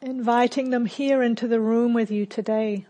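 A woman leading a guided meditation as a teacher, calm and unhurried, giving gentle instructions with long pauses. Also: a little high.

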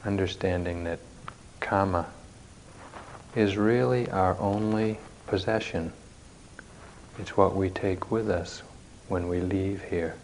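A man leading a guided meditation as a teacher, speaking in measured short phrases with long pauses, recorded live at a retreat. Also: low-pitched, a little mellow, a little hiss or hum, poor audio.

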